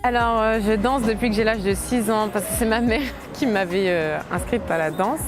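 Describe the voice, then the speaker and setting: A woman in her late twenties, a dancer, talking casually and hesitantly in an interview, with many fillers and soft laughs. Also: a little high, normal speed, music in the background. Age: late 20s.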